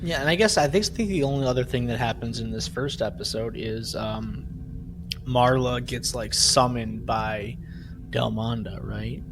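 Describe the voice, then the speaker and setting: A man's voice: medium pitch, measured pace. A man hosting a podcast, recapping a story in a rambling casual way and often checking in with "right?". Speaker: podcast host. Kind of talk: casual chat recapping an audio drama. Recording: clear background noise.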